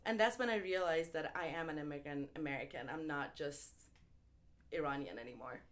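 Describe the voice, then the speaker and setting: A young woman from Iran with a fairly low voice talks things through in a relaxed interview. She pauses often on "um" and "like" as she feels her way to the point, and she laughs easily. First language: Farsi. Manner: thoughtful, frequent ums and likes, laughs easily. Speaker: interview guest. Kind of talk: casual conversational interview.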